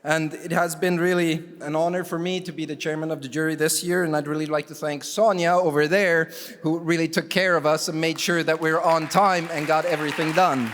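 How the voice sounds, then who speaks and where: A man from Canada presents awards from a conference stage, slightly high in pitch and wry in tone. He stops on fillers as he goes. The stage microphone carries his voice into a long, echoing hall reverb. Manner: relaxed, wry, halting with fillers. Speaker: jury chair presenting awards. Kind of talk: ceremony speech with asides.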